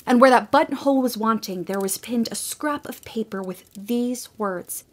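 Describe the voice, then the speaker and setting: A woman giving scripted storybook narration for a radio play, gentle and expressive, sometimes dropping to a hush. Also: a little high, moderate pace.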